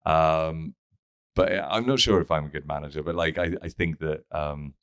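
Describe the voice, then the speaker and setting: A man's voice: rumbling low, normal speed, clear, resonant tone, no room sound, studio quality. A man from the Czech Republic who speaks with an English accent, telling his story in a rambling, conversational way as an interview guest. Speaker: interview guest, tech founder.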